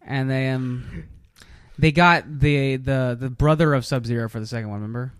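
A man's voice: mid-range voice, fairly even intonation, moderate pace, fairly bright tone, clear, resonant tone, no echo. A man co-hosting a casual chat podcast, speaking bluntly and swearing now and then. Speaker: podcast co-host. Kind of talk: casual chat about movies and games.

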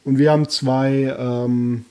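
A man's voice: mid-range voice, flat delivery, slow pace, a little mellow. A man co-hosting a podcast, telling stories in an easygoing way with hesitant restarts. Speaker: podcast co-host. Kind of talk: casual chat.

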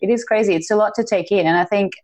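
A woman's voice: medium pitch, rapid-fire, a little mellow. Woman in her 30s, english is her second language, casual podcast chat, lots of likes. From moved to Australia from a non-English-speaking country. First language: not English. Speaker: podcast co-host.

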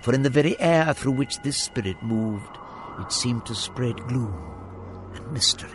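A man narrating a Victorian ghost tale for a radio drama in a grave, measured manner. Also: mid-range voice, lively intonation, background noise, lightly acted.

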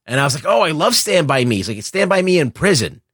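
A man's voice: fairly high pitch, very animated, fast, fairly bright tone, husky, no echo, clean studio sound. A middle-aged man chatting casually about films as a podcast co-host, circling back over his phrases and swearing now and then. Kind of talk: casual opinionated film chat.

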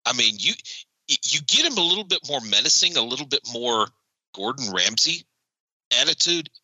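A man co-hosting a casual podcast chat about a TV episode, animated and joking. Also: mid-range voice, normal speed, no echo, poor audio. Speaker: podcast co-host.

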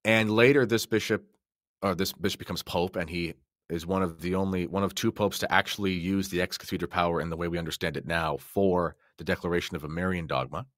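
A man's voice: low-pitched, steady tone, a little fast, a bit bright, no echo, studio quality. A man giving enthusiastic, explanatory commentary in a conversational podcast style. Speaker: podcast guest or co-host commentator.

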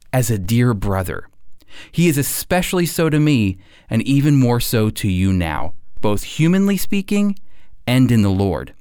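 A man's voice: medium pitch, darkish tone, no room sound, studio quality. An American man presenting scripted radio commentary and Bible teaching as a co-host. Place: dry studio.

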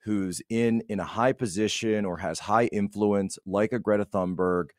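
Low-pitched man, casual emphatic commentary. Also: steady tone, moderate pace, dry recording, studio quality. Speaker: podcast guest, political commentator.

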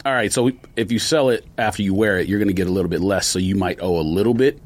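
A man with a fairly low voice co-hosts a casual chat. His phrasing is loose and colloquial. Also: quick pace. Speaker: podcast co-host.

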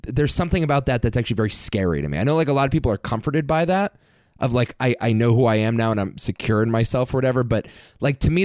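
A man's voice: medium pitch, a little mellow, clear, resonant tone, no room sound, studio quality. A man in his twenties chatting casually as a podcast co-host, anxious and rambling. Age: 20s. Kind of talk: casual chat.

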